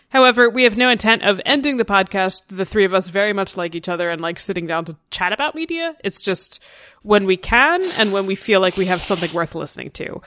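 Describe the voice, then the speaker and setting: A woman's voice: medium pitch, expressive, a little fast, a bit bright, no echo. Chatty woman podcast host, dry sound. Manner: chatty, laughs often, frank asides. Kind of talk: casual podcast chat.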